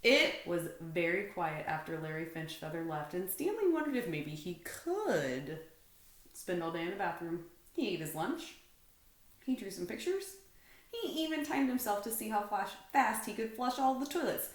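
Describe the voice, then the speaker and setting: A woman's voice: mid-range voice, sing-song, normal speed. A woman reading a children's storybook aloud expressively, like a teacher to pupils. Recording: roomy indoor echo.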